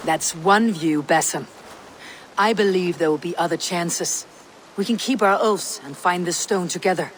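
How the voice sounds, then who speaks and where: A woman's voice: on the low side, unhurried, slight background noise, close to an everyday voice, poor audio. An actress playing a proud, stubborn Viking warrior woman in a video game cutscene, speaking firmly and bluntly. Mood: defiant, resolute.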